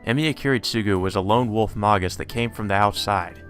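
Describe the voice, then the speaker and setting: Low-voiced man reading a visual novel. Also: brisk, fairly bright tone, soft background music. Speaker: let's player. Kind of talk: reading visual novel text aloud with asides.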